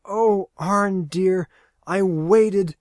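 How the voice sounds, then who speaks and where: A woman's voice: medium pitch, very slow, darkish tone, dry recording, clean studio sound, pitched above the performer's own voice. A man voicing an ecstatic, tearful young woman who speaks breathlessly between sobs. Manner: breathless, sobbing, pleading.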